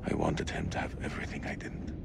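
An actor playing a middle-aged, weary and devout soldier, solemn and heartfelt, in a cinematic video game. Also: male voice, fast, heavy background noise, natural voice. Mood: tender, weary. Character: weary, devout soldier and devoted father, sombre.

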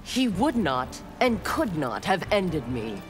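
A cold, ruthless young ninja warrior in a fighting game. Her delivery is slow, clipped and haughty, with a faint music bed underneath. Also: on the low side, sing-song. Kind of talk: video game dialogue.

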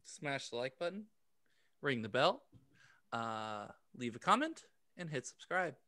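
A man's voice: mid-range voice, sing-song, a little slow, pushed and bright, no echo. A man in his thirties co-hosting a podcast with casual, giggly, self-mocking banter into a close microphone. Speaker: podcast co-host. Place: home room, video call. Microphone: close desk microphone with headphones.

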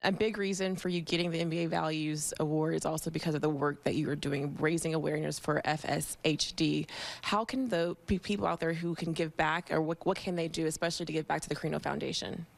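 A woman's voice: low-pitched, fairly even intonation, fast talker, vocal fry. A woman working as a sports TV reporter, asking interview questions.

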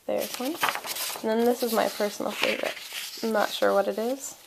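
Bubbly young woman vlogger, high bright voice, talking to a camera held at arm's length, noisy recording. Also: steady tone, brisk, gravelly. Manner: chatty, giggly, rambling. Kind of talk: casual vlog chat. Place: car, then home kitchen. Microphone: handheld camera at arm's length. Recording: noisy handheld camera audio.

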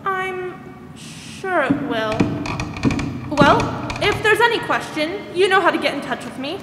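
A woman's voice: very high-pitched, animated, measured pace. A woman acting a polite, concerned social worker in a 1940s-style radio drama. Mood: reassuring. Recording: roomy stage hall, faint noise.

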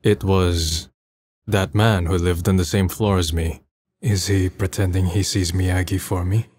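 A man's voice: fairly even intonation, measured pace, darkish tone, breathy edge, dry recording, studio quality. A man voicing a jaded, self-deprecating young man, narrating and talking matter-of-factly in an amateur manga dub. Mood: reflective. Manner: low-key, matter-of-fact.

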